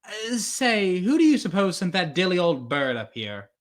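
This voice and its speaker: A man's voice: high voice, sing-song, normal speed. A man playing a tired, grumbling, sarcastic radio actor in a 1940s-style radio play. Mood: weary grumbling. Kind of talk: old-time 1940s radio play acting.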